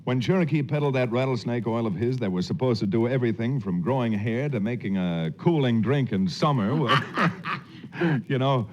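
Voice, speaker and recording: An actor playing a confident, wry frontier hero in a 1940s radio western, with a cool, teasing manner. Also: male voice, mid-range voice, normal speed, a little mellow, natural voice. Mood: amused, explaining. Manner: cool, teasing, self-assured. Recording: muffled, noisy old radio recording.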